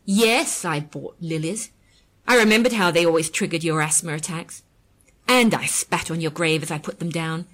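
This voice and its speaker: Woman doing a bitter cursing widow, snarly, kinda low voice. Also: steady tone, takes it slowly, hardly any pauses, sharp tone, slightly rough, heavily acted. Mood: scornful. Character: bitter, spiteful widow, middle-aged woman.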